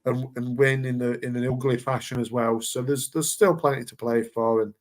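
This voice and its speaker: A man co-hosts a casual football chat show. He rambles as he goes and stumbles over repeated words and fillers. His voice sits at an ordinary middle pitch. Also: fairly even intonation, moderate pace. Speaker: podcast co-host.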